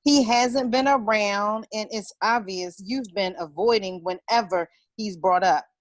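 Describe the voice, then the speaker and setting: An actress at a table read playing a candid, sympathetic office colleague. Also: female voice, mid-range voice, unhurried, lightly acted.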